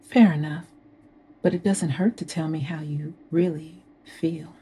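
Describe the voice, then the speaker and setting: A husky, low-voiced seductive wife. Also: female voice, fairly even intonation, measured pace, mellow, full character voice. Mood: flirtatious. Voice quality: husky, breathy. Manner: seductive, coaxing. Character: flirtatious, scheming wealthy married woman, bored and persistent. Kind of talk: modern audio drama acting.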